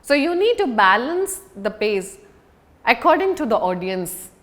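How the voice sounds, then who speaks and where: A woman giving a public speaking lesson as an instructor, speaking deliberately and emphatically with dramatic pauses. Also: on the high side, very animated. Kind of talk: scripted lesson to an audience on video.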